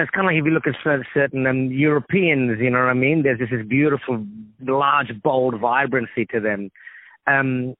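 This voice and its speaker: A man with an Australian accent, an actor and comedian, chatting relaxed and talkative as a guest in a phone interview, laughing easily. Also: medium pitch, dry recording. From Sri Lankan family, grew up in Australia. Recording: tinny phone line.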